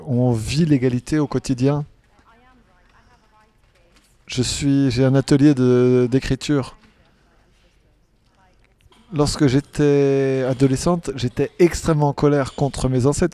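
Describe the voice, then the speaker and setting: A man interpreting a panel speaker simultaneously, talking in halting phrases with frequent restarts and self-corrections. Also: medium pitch, dark tone, dry recording. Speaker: conference interpreter.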